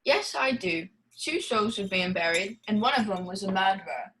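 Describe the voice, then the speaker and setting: A woman's voice: mid-range voice, expressive, normal speed, fairly bright tone, lightly acted, poor audio. A woman playing a blunt, gossipy country innkeeper in a radio play, matter-of-fact and folksy.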